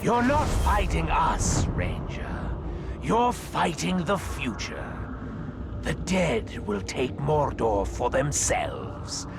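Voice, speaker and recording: An actor playing a menacing, mocking orc necromancer in a video game, theatrical and gloating. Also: male voice, fairly high pitch, sing-song, slow, gravelly, exaggerated voice. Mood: mocking menace. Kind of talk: video game villain acting. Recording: loud music behind the voice.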